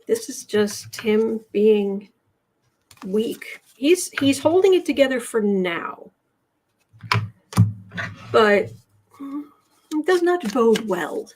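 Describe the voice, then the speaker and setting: An American woman hosting a casual tarot livestream, chatting haltingly as she comments and reads out viewer messages, often repeating her words. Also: fairly high pitch, sing-song, darkish tone. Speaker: host of a live tarot and astrology show.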